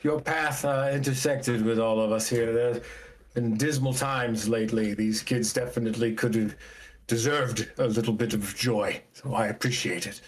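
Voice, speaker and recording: Brief: man acts a earnest, hesitant, grateful fellow minding children, mid-pitched, tabletop game. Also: fairly even intonation, lightly acted. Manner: halting, earnest, polite.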